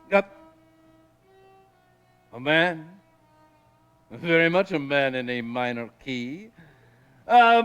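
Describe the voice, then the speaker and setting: A flamboyant, swaggering poet-adventurer, spinning wild tales in a high voice. He talks in grand, theatrical, rambling bursts, as in a staged period drama. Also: fairly bright tone. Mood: scheming. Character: witty swaggering male poet posing as a raving space traveler.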